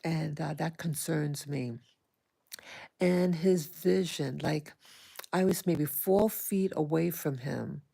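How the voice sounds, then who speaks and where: A soft-spoken woman hosting an early morning livestream, talking hesitantly with many pauses and fillers and greeting her viewers. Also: deep voice, vocal fry, breathy edge. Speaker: livestream host. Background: small dog occasionally heard.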